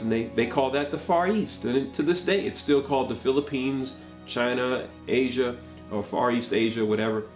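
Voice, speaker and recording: A man's voice: medium pitch, a little mellow. A man giving an emphatic lecture, firing rhetorical questions. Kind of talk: lecturing monologue. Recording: echoey room, faint music.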